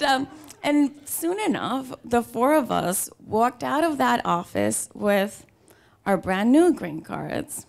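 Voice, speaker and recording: Dominican woman telling a story, gravelly edge. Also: on the high side. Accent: Dominican Spanish. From Dominican Republic. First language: Spanish. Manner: conversational, dramatic pauses, playful quoted dialogue. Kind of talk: live personal storytelling. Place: live storytelling show before an audience.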